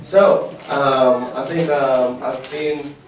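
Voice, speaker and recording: A man's voice: mid-range voice, far from the mic, over music. A Korean-born man in his thirties, a worship leader with a mixed Australian, American and Korean accent, chatting casually from a church stage in short phrases for an interpreter. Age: 30s. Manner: relaxed, chatty, laughs at himself, short phrases paused for translation. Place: church hall stage, indoors. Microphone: handheld microphone. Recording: echoey church hall, heard from afar.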